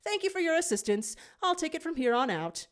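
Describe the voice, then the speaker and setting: A woman's voice: extremely high pitch, big pitch swings, a little fast, voice pushed up. A woman voicing a smug male canine villain, wordy, pompous and condescending, in a storybook reading. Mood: haughty, scheming. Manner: pompous, formal wording.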